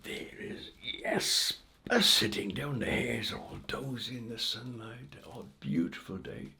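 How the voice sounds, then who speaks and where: A man telling a folk tale aloud in an informal, theatrical way with dramatic pauses. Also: mid-range voice, creaky, bright, forceful tone, slightly rough. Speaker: storyteller. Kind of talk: animated oral folk-tale storytelling.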